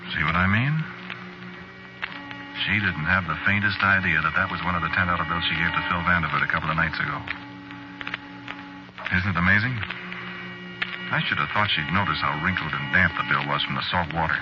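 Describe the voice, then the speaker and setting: An actor playing a shifty working-class American store clerk and cool killer, narrating in a folksy, slangy, confiding way in 1940s radio suspense style. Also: male voice, sits low, steady tone, fairly quick, pauses often, over music, lightly acted. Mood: chillingly offhand. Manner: folksy, slangy, offhand storytelling. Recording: dull, noisy vintage radio recording.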